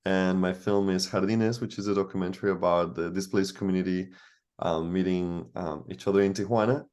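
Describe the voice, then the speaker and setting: A man's voice: deep voice, fairly even intonation, brisk, darkish tone. A man from Costa Rica, a documentary director, introducing himself politely on a video call.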